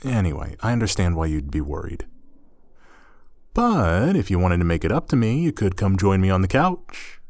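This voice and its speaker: A man playing an easygoing, gently teasing, slightly awkward young roommate in an intimate ASMR roleplay, talking casually with hesitant asides. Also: low voice, sing-song, normal speed, no room sound, clean studio sound. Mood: reassuring, earnest.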